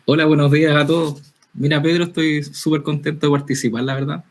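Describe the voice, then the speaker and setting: A man's voice: fairly high pitch, little pitch movement, a little fast. A young man from Spain, a guest talking candidly and conversationally about his work as a safety professional. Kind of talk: casual interview talk.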